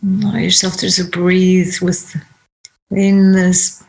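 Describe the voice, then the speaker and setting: A woman leading a guided meditation, giving gentle instructions in short phrases with pauses. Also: lowish voice, completely flat delivery, creaky, rough recording. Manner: unhurried, pausing between short phrases.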